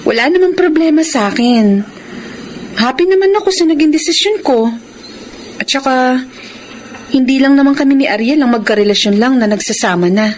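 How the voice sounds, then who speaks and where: A woman's voice: sits high, lively intonation, moderate pace, pauses often, a little mellow, a little hiss or hum. An actress playing a young Filipino woman in love, content and a little defensive, in a radio drama. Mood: content, casual.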